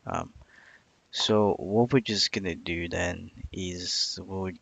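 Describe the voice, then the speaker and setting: A man on an informal live coding stream, thinking aloud in a halting way, muttering to himself and repeating phrases. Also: deep voice, steady tone, vocal fry, a bit bright, no echo. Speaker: live streamer learning software.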